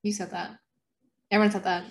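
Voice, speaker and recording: A woman's voice: medium pitch, little pitch movement, a little fast. Giggly young woman chatting. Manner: giggly, rambling with restarts. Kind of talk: casual chat.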